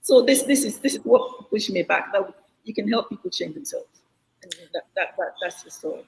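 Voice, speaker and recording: A woman's voice: medium pitch, animated, moderate pace, creaky. An older woman answers interview questions over a video call, earnest and reflective, often stopping to restart a thought. Her voice rings with a long, hall-like reverb that trails after each phrase. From Ghana. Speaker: guest.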